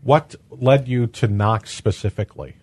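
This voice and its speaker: A man's voice: mid-range voice, unhurried, darkish tone, slightly rough, no room sound. A man hosting a local evening news radio show, interviewing guests in the studio, talking with many fillers and restarts.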